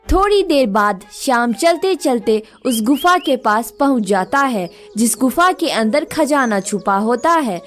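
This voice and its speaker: A woman narrating a village cartoon story in a scripted storytelling manner. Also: fairly high pitch.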